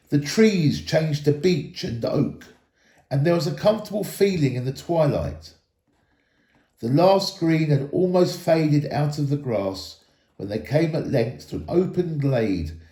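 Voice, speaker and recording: A man giving an animated, expressive reading of a children's fantasy story. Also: mid-range voice. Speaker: storybook reader, school staff member. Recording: echoey room.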